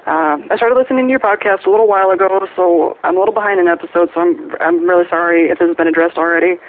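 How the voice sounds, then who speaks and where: A man's voice: sits high, steady tone, very fast. A man leaving a casual, chatty, geeky voicemail as a listener over a phone. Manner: geeky, correcting. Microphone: telephone. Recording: tinny narrow phone line.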